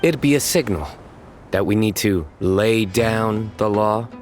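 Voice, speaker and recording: A man playing a stern young lawman whose voice sits low and steady. He delivers his puns deadpan, with soft game music underneath. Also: measured pace, close to an everyday voice.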